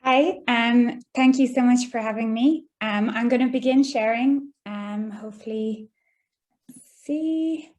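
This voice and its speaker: Young Irish woman, guest lecturer on a video call, slightly high voice, measured and explanatory. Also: a little mellow, highs cut off. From Ireland. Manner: measured, explanatory, with frequent ums and uhs. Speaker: guest speaker. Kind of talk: online lecture presentation.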